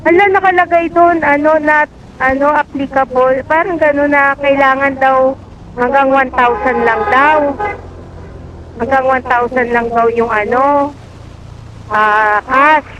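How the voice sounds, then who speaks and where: A woman's voice: high-pitched, fairly even intonation, measured pace, long pauses. A woman chatting casually over a helmet intercom while out on a motorcycle ride. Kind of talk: casual chat. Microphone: helmet intercom headset. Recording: muffled intercom audio, loud vehicle noise.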